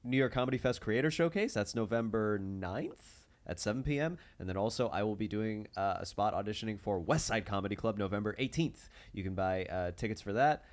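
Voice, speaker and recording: A man chatting casually as a podcast host, animated and quick to laugh. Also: on the low side.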